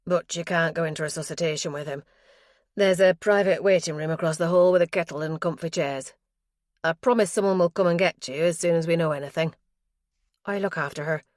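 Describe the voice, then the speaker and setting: A woman's voice: on the low side, fairly quick, dry recording, clean studio sound, lightly acted. A woman narrator voicing a hospital nurse who is firm but sympathetic and reassuring.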